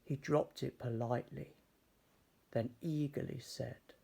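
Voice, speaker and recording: A man reading a children's story aloud in a measured, expressive, dramatic way. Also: mid-range voice, creaky, breathy edge. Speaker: storybook reader.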